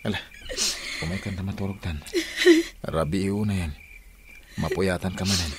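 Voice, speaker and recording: An actor with an Ilocano accent playing an earnest, subdued, repentant husband in a radio drama. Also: male voice, low voice, steady tone, moderate pace, slight background noise, lightly acted. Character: repentant husband, earnest and humbly resolved to change.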